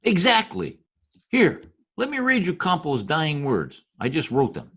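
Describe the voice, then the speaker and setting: A man playing a brisk, glib, cynical political fixer in an old-time radio drama. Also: a little high, huge pitch swings, vocal fry, fairly bright tone, slightly rough, heavily acted. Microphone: video call.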